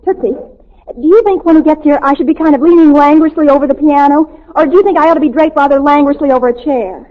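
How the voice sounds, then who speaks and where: A woman playing a starry-eyed, dramatic teenage high school girl in a 1940s radio comedy, gushing and breathless. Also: fast, lightly acted. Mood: excited anticipation. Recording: tinny, narrow-band old radio recording.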